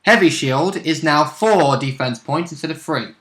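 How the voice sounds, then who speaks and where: A man's voice: on the high side, normal speed, a bit bright. A man co-hosting a casual chat about a tabletop game and its rules, recorded in a room. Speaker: co-host and tabletop game player. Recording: roomy, echoing sound.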